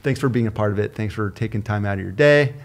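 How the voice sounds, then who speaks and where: A man hosting a podcast interview in a relaxed, upbeat, chatty way, joking with his guests. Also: lowish voice. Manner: upbeat, chatty, quick to joke. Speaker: podcast host. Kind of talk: casual interview chat.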